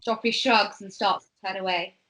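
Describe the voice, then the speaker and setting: A woman narrates a script at an amateur table read. Her voice sits at an average pitch as she reads out the stage directions. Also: fairly even intonation, moderate pace, sharp tone, rough recording.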